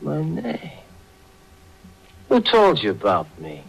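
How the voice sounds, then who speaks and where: A man's voice: on the high side, wildly swooping pitch, slow pace, vocal fry, slightly rough, full character voice, poor audio. An actor playing a tough, suspicious young street guy with a hot temper and slangy, snappish talk in 1940s radio drama style. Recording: old 1940s radio recording.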